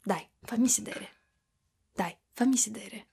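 A woman voicing a doting, clingy motherly spider-woman in an ASMR roleplay, speaking softly and affectionately with whispery stretches. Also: medium pitch, unhurried, a little hiss or hum. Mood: caring, cheerful. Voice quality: soft, breathy with whispery stretches. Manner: cooing, affectionate, giggly, pet names.

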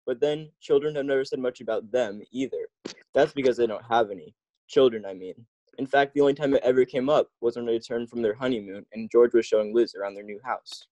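A man's voice: medium pitch, brisk, mellow, no room sound, rough recording. A man announcing a scripted old-time radio comedy as its narrator.

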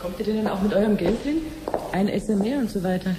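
An actress playing an obliging clinic researcher in a 1970s radio play, explaining things patiently and conversationally. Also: female voice, mid-range voice, quick pace, background noise, lightly acted. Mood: friendly, chatty. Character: chatty, obliging female clinic researcher showing her sister around.

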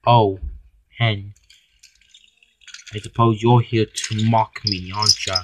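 A man's voice: mid-range voice, steady tone, moderate pace, very noisy, natural voice. A man voicing an apologetic, dejected red steam engine who stammers sheepishly in a children's story.

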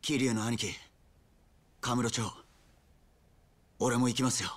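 An actor playing an earnest, hot-headed young yakuza underling in a game drama, with a rough, blunt way of talking. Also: male voice, medium pitch, flat delivery, measured pace, slight background noise, close to an everyday voice. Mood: bitter resolve. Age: young adult. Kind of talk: dramatic Japanese video game acting.

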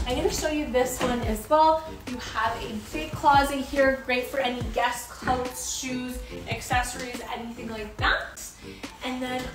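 A woman working as a real estate agent, leading an upbeat walkthrough of a house and repeating words for emphasis. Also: high-pitched. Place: inside a house, walking room to room. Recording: roomy, from a distance, music behind.

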